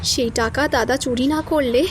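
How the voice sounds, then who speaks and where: An actress playing a young widow who is tired and halting at first, then firm and resentful. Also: female voice, high-pitched, a little hiss or hum. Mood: defiant. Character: young widow, meek but defiant when pushed. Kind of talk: Bengali audio drama acting.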